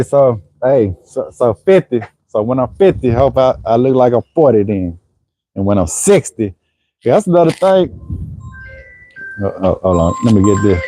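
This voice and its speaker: A man's voice: mid-range voice, warm tone. A man in his forties running an HVAC business, chatting casually on a livestream and answering viewers in a laid-back way. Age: 40s. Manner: laid-back, conversational, frequent "you know what I'm saying". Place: Houston area.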